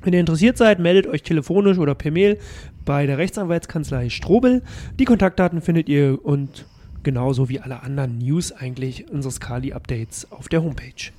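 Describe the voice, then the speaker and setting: A man's voice: fairly high pitch, a little fast, mellow. A man hosting a club news podcast, upbeat and chatty, thanking guests and reading notices. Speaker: podcast host.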